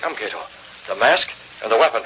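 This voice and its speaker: An actor playing a determined young newspaper publisher with an insistent, clipped way of speaking, in an old radio drama. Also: male voice, on the low side, expressive, fairly quick, sharp tone. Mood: plotting. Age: young adult. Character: determined, probing young newspaper publisher, secretly a masked crimefighter. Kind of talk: 1930s-40s radio drama acting. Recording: dull, noisy old radio recording.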